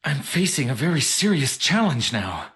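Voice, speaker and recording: An actor playing an earnest, awkward young rebel officer in an anime audio drama, with a hesitant, sincere, easily flustered delivery. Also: male voice, on the high side, sing-song, moderate pace, a bit bright. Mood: confiding, earnest. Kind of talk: anime dub audio drama acting, confiding monologue.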